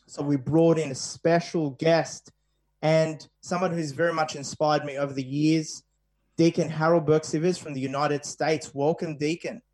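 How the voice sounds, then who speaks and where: A man's voice: a little high, fairly even intonation, normal speed, darkish tone. Male radio host. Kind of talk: radio interview hosting.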